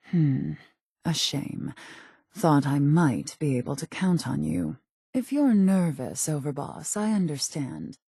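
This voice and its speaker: A woman's voice: deep voice, dark tone, breathy edge, dry recording, studio quality, full character voice. A woman playing a cool, ruthless gang boss in a video game, speaking in a controlled, clipped, politely menacing way. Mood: cool, disappointed. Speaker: video game character giving out jobs. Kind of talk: scripted game dialogue.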